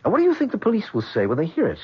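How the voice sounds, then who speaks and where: A domineering tycoon from a vintage radio play. His husky voice swings widely as he sneers and commands with cold arrogance. The recording is dull and dated. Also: high voice, wildly swooping pitch, racing, full character voice. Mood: scornful, commanding. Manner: domineering, sardonic. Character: ruthless tycoon, arrogant father of a grown son, coldly mocking. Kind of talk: 1970s radio drama acting.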